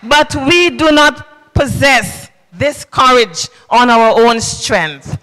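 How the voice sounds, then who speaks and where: A woman's voice: a little high, expressive, slow, a bit bright. A woman in her fifties preaching a sermon at a podium into a handheld mic, emphatic and preacherly with sing-song cadences. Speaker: government official preaching a sermon. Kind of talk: sermon at a public service church service. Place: large indoor hall at a podium. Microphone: handheld microphone. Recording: long reverb in a large hall.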